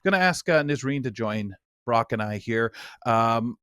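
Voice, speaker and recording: A man's voice: medium pitch, animated, a little slow, fairly bright tone, smooth, dry recording, studio quality. A man hosting a talk show in a chatty, rambling way that often restarts and circles around.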